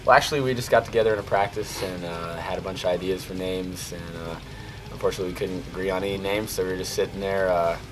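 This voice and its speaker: A man's voice: low-pitched, fairly even intonation, fast talker, over music. A twenty-one-year-old man, a band's singer, telling a story in a rambling, casual way. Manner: rambling, full of fillers. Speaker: band vocalist. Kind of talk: casual interview.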